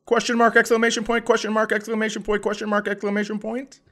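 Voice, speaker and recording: A man's voice: high voice, monotone, fast talker, a bit bright. A man who is a comedian and podcast co-host, chatting casually and telling stories in a profane, mock-indignant rant. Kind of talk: casual podcast chat and storytelling.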